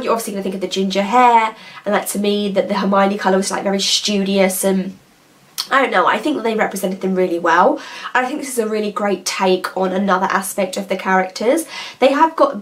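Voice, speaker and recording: A woman's voice: medium pitch, a bit bright. A woman, chatting casually and enthusiastically to camera with lots of hesitations and asides. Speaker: nail polish vlogger.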